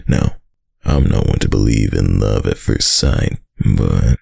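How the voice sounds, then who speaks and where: Man acting a rumbling, gravel-deep mafia boyfriend. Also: takes it slowly, a little mellow, no echo, clean studio sound, exaggerated voice. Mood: fond reminiscing. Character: dominant, doting young male mafia heir, tough but tender. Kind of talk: intimate boyfriend roleplay ASMR. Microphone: close, dry microphone.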